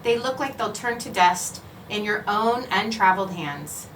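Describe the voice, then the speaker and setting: A woman reading a children's picture book aloud in a measured, expressive storytelling way, with clear phrasing. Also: medium pitch. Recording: roomy, echoing, distant mic, faint noise.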